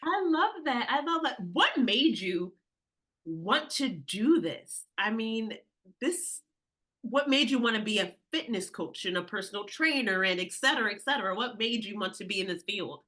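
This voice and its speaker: A woman's voice: a little high, expressive, moderate pace, sharp tone. A woman hosting a podcast interview, effusive and gushing with praise for her guest. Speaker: podcast host. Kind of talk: enthusiastic interview.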